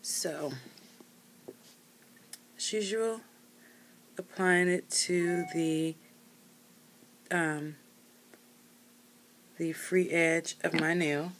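Woman, 30s, low pitch, hesitant casual reviewer, small room, desk cam. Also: steady tone, extremely slow, long pauses, a little hiss or hum, poor audio. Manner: rambling, hesitant, many fillers and self-corrections. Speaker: nail polish reviewer. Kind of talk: casual product review while painting nails.

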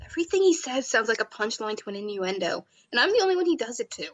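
A woman's voice: a little high, very animated, fast, lo-fi sound. A woman reading a visual novel's inner monologue aloud in a wry, chatty way. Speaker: game narrator and player.

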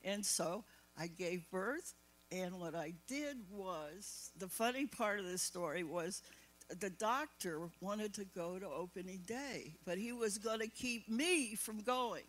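A woman's voice: mid-range voice, expressive, slow, a bit bright. An older woman telling a long personal anecdote to a panel audience, chatty and often breaking into laughter. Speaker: panel guest. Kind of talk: storytelling anecdote.

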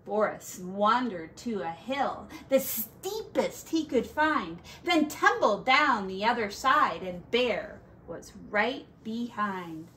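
Woman, children's storyteller, unhurried pace, lively swooping intonation, playful emphasis. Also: a little high, a little hiss or hum. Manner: animated, drawn-out emphasis with playful asides. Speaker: teacher reading aloud to children. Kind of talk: expressive picture-book storytelling for young children.

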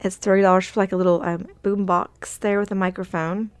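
A woman's voice: mid-range voice, little pitch movement, fairly quick, smooth, no echo. A woman vlogging as she walks through a store, chatting upbeat and reading out prices on a handheld phone. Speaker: shopping vlogger. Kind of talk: casual shop-with-me narration. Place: inside a large retail store.

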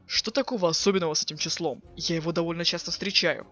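Man as a sharp-voiced, sarcastic cool teenage boy. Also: on the high side, steady tone, fast talker, faint music behind. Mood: offhand. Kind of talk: fan dub of a webcomic chat.

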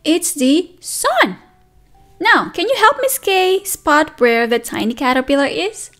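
A woman's voice: high-pitched, unhurried, soft background music. A woman teacher reading a picture book aloud to toddlers, asking questions and counting along with them. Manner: animated sing-song, asks children questions and counts along. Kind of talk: read-aloud circle time for toddlers. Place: indoors at a table, only hands and book in frame.

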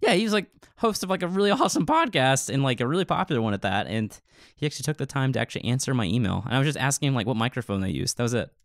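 Male podcast co-host, mid-pitched, informal and chatty storyteller, very dry recording. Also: sing-song, very fast, dry recording. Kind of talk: casual podcast chat.

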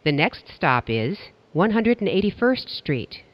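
A man's voice: high voice, big pitch swings, unhurried, no room sound, highs cut off. A man reading scripted transit announcements as a train announcer, in formal, segmented phrasing.

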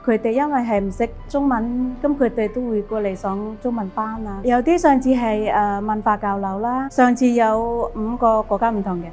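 A woman's voice: on the high side, normal speed, hardly any pauses, a little mellow, faint music behind. Woman listing countries with hesitant fillers. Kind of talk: casual interview. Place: indoor corridor by planters.

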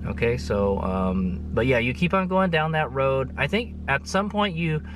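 A man's voice: medium pitch, animated, measured pace, music in the background. A man in his thirties giving a casual, rambling trip recap to camera from inside a vehicle, chuckling often and tagging phrases with "okay". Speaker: motovlogger.

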